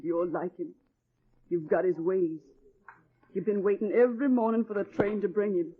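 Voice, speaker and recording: An actress playing a weary, sharp, resentful waitress mother in an old-time radio drama. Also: mid-range voice, normal speed, breathy edge, lightly acted. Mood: bitter, hurt. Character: weary, bitter, possessive mother working as waitress. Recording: muffled, tinny old radio recording.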